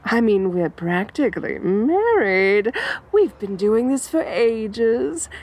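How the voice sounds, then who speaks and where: A woman voicing a theatrical, obsessive female supervillain who speaks in a teasing, drawn-out way with sudden dips and leaps in pitch. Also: very animated, darkish tone, full character voice. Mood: teasing menace. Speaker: villain. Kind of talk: audio roleplay acting.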